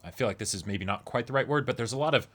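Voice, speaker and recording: A man's voice: mid-range voice, racing, fairly bright tone. A young man co-hosting a casual discussion show, earnestly feeling his way through each point. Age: young adult. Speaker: co-host of an anime reaction show. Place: small indoor room, seated before a backdrop.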